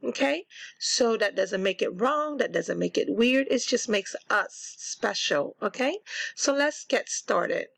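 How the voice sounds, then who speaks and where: A woman teacher reads aloud and explains things to young children. Her fairly high voice moves very slowly and deliberately, with an instructive tone. The sound is dry and close. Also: animated, vocal fry, a bit bright, dry recording. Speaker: teacher reading stories to children. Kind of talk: children's storybook read-aloud with simple explanation.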